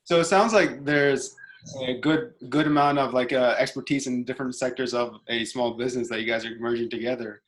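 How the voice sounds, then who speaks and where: A man's voice: mid-range voice, fairly even intonation, brisk, rough recording. A man chatting casually as an interviewer, a little hesitant as he searches for words. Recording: faint background noise.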